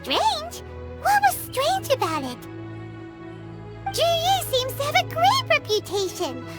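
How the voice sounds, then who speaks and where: A woman voicing a small, curious, excitable childlike sprite companion in a video game, squeaky and full of naive questions and exclamations. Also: slow, exaggerated voice. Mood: curious, puzzled. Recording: loud music under the voice. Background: music.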